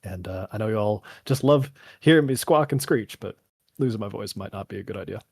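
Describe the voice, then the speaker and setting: Low-voiced, tiring game developer. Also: male voice, fairly quick, gravelly, darkish tone, slightly rough, no echo, clean studio sound. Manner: rambling, technical, thinking aloud. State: voice getting tired after three hours. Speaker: game developer answering community questions. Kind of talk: casual live developer Q&A.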